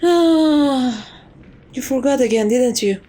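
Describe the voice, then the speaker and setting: Woman as a prim, higher-voiced chief maid. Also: mellow. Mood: firm, polite. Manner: formal, measured, politely condescending. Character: prim, composed, strict but fond chief maid of vampire estate. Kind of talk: ASMR roleplay acting.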